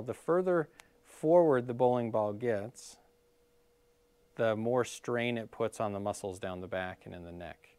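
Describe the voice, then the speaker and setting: A man's voice: mid-range voice, a little mellow, dry recording. A middle-aged man explaining scoliosis care at a live workshop, casual and full of fillers, while losing his voice. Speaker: physical therapist leading a scoliosis workshop. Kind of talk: live-streamed workshop talk. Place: clinic room.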